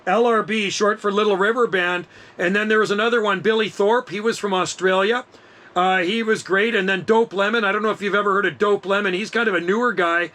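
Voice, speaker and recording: A man's voice: high voice, steady tone, brisk, pushed and bright. A middle-aged man chatting casually as an amateur radio operator, enthusiastic and slangy. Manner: enthusiastic, laid-back slangy talk.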